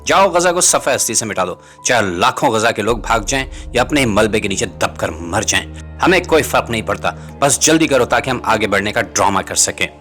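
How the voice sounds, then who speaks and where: Bright-voiced man delivering biting commentary over music. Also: medium pitch. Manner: rhetorical, biting sarcasm. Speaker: commentator and podcast host. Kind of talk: scripted political commentary voiceover.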